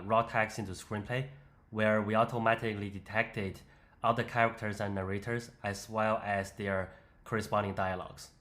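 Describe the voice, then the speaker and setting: A man presenting an unscripted software walkthrough, explaining step by step in a hesitant way. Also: fairly low pitch. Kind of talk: unscripted screen-demo walkthrough.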